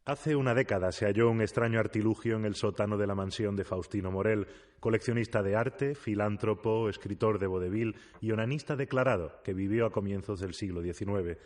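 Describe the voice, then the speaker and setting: Man acting as solemn male radio narrator, lowish voice, formal deadpan scripted delivery. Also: brisk, close to an everyday voice. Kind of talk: scripted mock-documentary narration.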